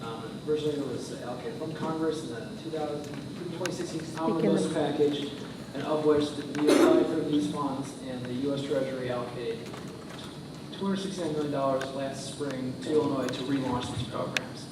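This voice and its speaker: A man representing a state housing program, giving factual, measured explanations at a public meeting. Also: fairly high pitch. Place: village board meeting room. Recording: echoey noisy hall, picked up from far off.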